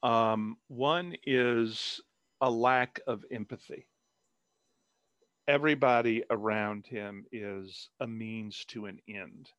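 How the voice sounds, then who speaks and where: A man's voice: medium pitch, steady tone, takes it slowly, fairly bright tone, no echo. A man, an author, chatting casually in an interview over a video call, rambling with many fillers and restarts.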